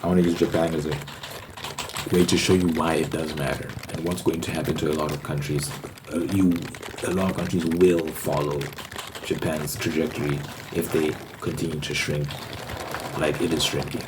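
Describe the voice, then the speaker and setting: A man giving an explanatory ASMR ramble in a halting way, often repeating words and phrases. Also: rumbling low, level tone. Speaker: ASMR video host. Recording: noticeable background noise.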